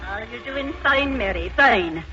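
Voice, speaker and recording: Sharp-voiced bossy Irish housekeeper. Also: female voice, fairly high pitch, lively intonation, unhurried, faint music behind, heavily acted. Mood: bossy, brisk. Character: bossy, tart Irish housekeeper, scornful but motherly. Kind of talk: 1940s radio drama acting. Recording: muffled, noisy 1940s radio recording.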